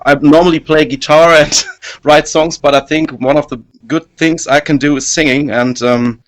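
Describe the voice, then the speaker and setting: A man's voice: on the high side, steady tone. Take your time and stumble a little, a man searching for words about his band. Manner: hesitant, halting, many fillers. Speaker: band singer and guitarist. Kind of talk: casual interview.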